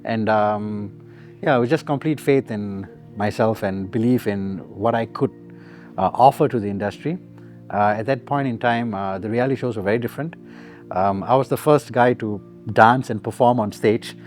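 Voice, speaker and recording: A man's voice: fairly low pitch, normal speed, darkish tone, faint music behind. Laid-back man reflecting in an interview. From Singapore. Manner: easygoing, reflective, laughs often. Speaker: musician guest. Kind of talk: relaxed interview answers.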